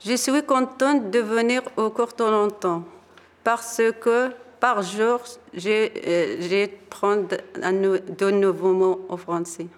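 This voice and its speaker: A woman's voice: mid-range voice, fairly even intonation, takes it slowly, long reverb tail. A mother learning French, giving an earnest testimonial in simple sentences. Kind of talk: spoken testimonial.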